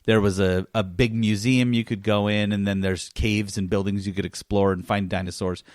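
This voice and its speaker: A man's voice: fairly low pitch, little pitch movement, normal speed, darkish tone, dry recording, studio quality. A middle-aged man chatting casually as the host of a gaming podcast.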